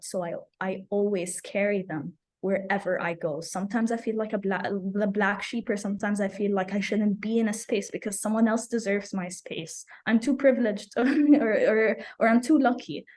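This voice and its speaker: A woman's voice: mid-range voice, darkish tone. A young woman who grew up in the UAE, giving earnest, personal interview answers as a guest activist, repeating phrases for emphasis. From Abu Dhabi, UAE. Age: young adult. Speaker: climate activist, podcast guest.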